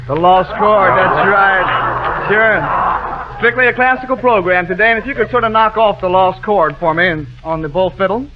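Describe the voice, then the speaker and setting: A man with a high voice works as master of ceremonies on a 1940s radio quiz. He keeps up casual, wisecracking patter and teases as he goes. The broadcast sounds muffled, with some music in it. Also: normal speed. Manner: wisecracking, teasing, chatty. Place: radio studio show with band and audience.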